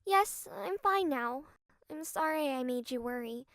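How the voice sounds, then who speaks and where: A woman's voice: high-pitched, lively intonation, a little slow, fairly bright tone, no room sound, studio quality. A woman voicing a sweet, playful, slightly ditzy young woman in a game fan dub, girlish and teasing. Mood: apologetic.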